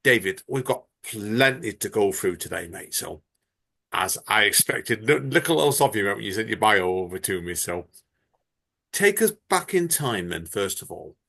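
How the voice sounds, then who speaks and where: A man's voice: lowish voice, big pitch swings, normal speed, creaky, bright, forceful tone. A man hosting a podcast chat, talking in a chatty, rambling, enthusiastic way. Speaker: podcast host. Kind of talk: casual chat.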